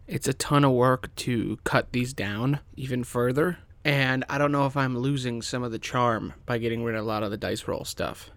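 A man's voice: mid-range voice, a bit bright, clear, resonant tone, dry recording. A man hosts a podcast in a casual way. He talks informally and directly to the listeners, unscripted and conversational. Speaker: podcast host.

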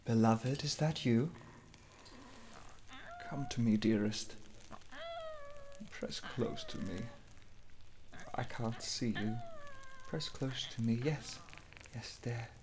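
A man playing a desperate, anguished husband in a melodramatic horror radio play, halting, breathy and overwrought. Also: mid-range voice, noisy background, close to an everyday voice. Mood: hushed, menacing. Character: anguished, desperate husband turning on his cat-like wife.

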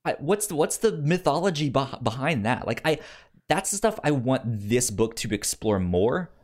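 Male co-host for casual discussion show. Halting, repeats words, highly animated pitch. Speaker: podcast co-host.

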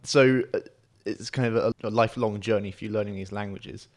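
A man with a fairly low voice hosts the show. He asks questions with enthusiasm and encouragement, and often responds with short affirmations. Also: fairly even intonation, brisk, a little mellow. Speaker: podcast host. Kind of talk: interview hosting.

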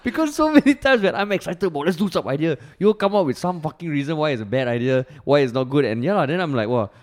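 A man's voice: a little high, expressive, fast, darkish tone. A Singaporean man co-hosting a podcast in casual chat, swearing freely and dropping Singlish particles. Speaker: podcast co-host.

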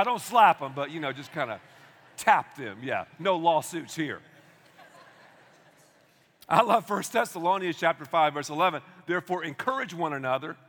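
A man, preaching a sermon in an energetic, conversational way with asides to the congregation. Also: sits high. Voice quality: loud voice. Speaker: pastor. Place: large church auditorium. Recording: long hall reverb.

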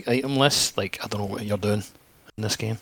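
A man's voice: lowish voice, a little fast, a bit bright, husky, no room sound. Man bantering casually, close and dry sound. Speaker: podcast co-host.

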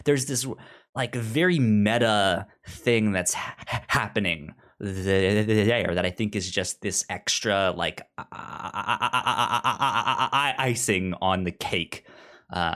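A man co-hosting a casual review chat, talking haltingly and often stammering over repeated words. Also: lowish voice, a bit bright. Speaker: podcast co-host reviewing audio drama.

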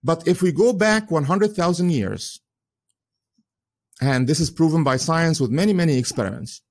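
A man's voice: on the high side, lively intonation, moderate pace. A man whose first language is Arabic, giving an earnest solo commentary in English with frequent chuckles and many "uh"s and restarts.

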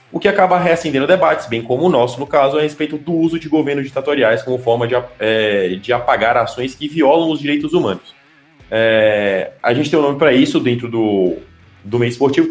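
A man co-hosting a casual podcast chat, talking haltingly with lots of filler sounds and restarts. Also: mid-range voice, reverberant. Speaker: podcast co-host.

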